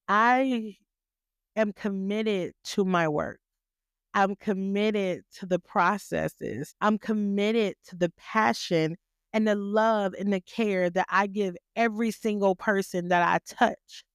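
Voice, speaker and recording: A woman's voice: mid-range voice, clean tone, no room sound, clean studio sound. A woman hosting her own podcast, giving an emphatic motivational monologue, repeating phrases and asking rhetorical questions in a firm, stern yet graceful voice. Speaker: podcast host.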